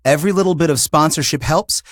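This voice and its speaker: A man hosting a podcast, reading a scripted announcement in a polished, deliberate presenter's manner. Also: on the high side, no room sound, studio quality. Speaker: podcast host and show creator.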